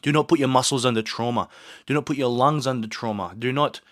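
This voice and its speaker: A man's voice: medium pitch, fast, fairly bright tone, dry recording. A man hosting his own podcast in a small home studio, talking earnestly and motivationally while sick with a sore throat and a blocked nose. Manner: earnest, motivational, rambling asides. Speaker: podcast host. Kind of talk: casual solo podcast monologue.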